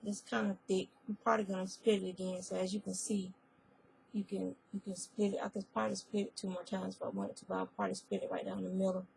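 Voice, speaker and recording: A woman whose voice is hoarse from a spreading cold, chatting casually in a crafting vlog and often rambling and correcting herself. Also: mid-range voice, level tone, quick pace, a little mellow, a little hiss or hum, poor audio. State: hoarse, losing her voice. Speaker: fiber arts vlogger. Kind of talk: casual chat.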